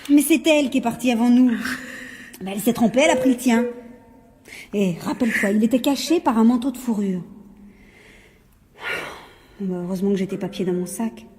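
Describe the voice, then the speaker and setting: Highish-voiced, bossy young woman played by an actress. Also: a little mellow, slight background noise, lightly acted. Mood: scolding. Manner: brisk, sarcastic, colloquial. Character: bossy, teasing, practical young woman, impatient with her friend. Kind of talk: French radio mystery drama acting.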